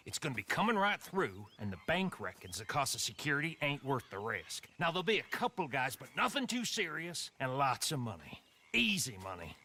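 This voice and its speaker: A man's voice: medium pitch, sing-song, fairly quick, sharp tone, slight background noise. A man voicing a bossy, easily offended ex-army outlaw with an American accent in a western video game. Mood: eager, bossy. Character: hot-headed, bossy ex-army Old West outlaw man.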